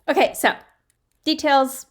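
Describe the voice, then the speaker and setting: A woman's voice: sits high, little pitch movement, takes it slowly. A woman reading and chatting as an amateur storyteller, informal and self-deprecating, recorded in a guest room on a laptop microphone. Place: quiet guest room at home. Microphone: built-in laptop microphone.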